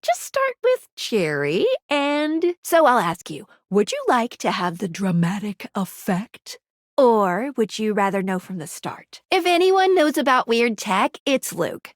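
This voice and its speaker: Perky, high-pitched game guide. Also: female voice, measured pace, dry recording, clean studio sound. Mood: chipper, helpful. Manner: chipper, quippy, expressive. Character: perky, earnest female dateable-object guide, eager and nervous. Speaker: game character guiding the player. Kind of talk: animated video game voice acting.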